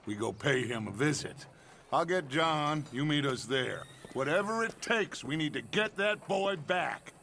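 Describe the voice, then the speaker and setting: An actor playing a wry older gentleman outlaw who speaks in a dry, theatrical way. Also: on the high side, animated, measured pace, vocal fry, slight background noise, heavily acted.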